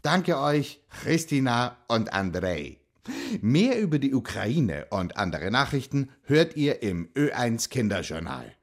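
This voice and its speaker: A man's voice: medium pitch, sing-song, a little slow. A man voicing a friendly, curious talking dog who hosts a children's radio show and asks kindly, simple questions.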